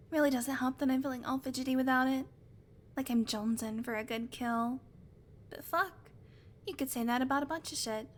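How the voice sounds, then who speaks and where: A woman's voice: high voice, slight background noise, close to an everyday voice. A woman playing a sarcastic, teasing vampire who swears casually, in a conversational audio roleplay. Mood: defensive, irritated. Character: sardonic female vampire, unrepentant predator, teasing. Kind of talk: audio roleplay acting, conversational monologue to listener.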